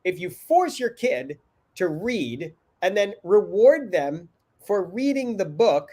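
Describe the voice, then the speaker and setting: A middle-aged man hosting a live video chat from home over a webcam, upbeat and energetic with many fillers, sounding a little congested. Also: high-pitched, slow. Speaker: host. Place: home room indoors. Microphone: video call webcam.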